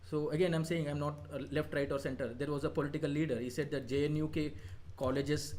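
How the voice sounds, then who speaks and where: A man with an Indian accent teaching an exam coaching class, reviewing answers in an easy, halting way with many fillers and short praise. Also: fairly high pitch, slight background noise. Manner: relaxed, hesitant, many fillers, mixing in Hindi; brief praise of students' work.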